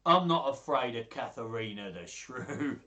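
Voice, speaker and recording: A man's voice: mid-range voice, lively intonation, unhurried, pushed and bright. Man putting on a swaggering, boastful wheeler-dealer. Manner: grand, confident, swaggering. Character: brash, boastful male fortune hunter, wheeler-dealer.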